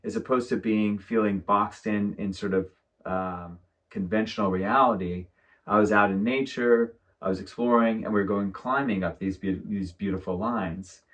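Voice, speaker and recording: Architect guy rambling thoughtfully, lowish voice, sounds kinda far from the mic. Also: a bit bright. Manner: thoughtful, rambling, many fillers. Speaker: guest, architect. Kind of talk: casual conversation. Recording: distant from the mic.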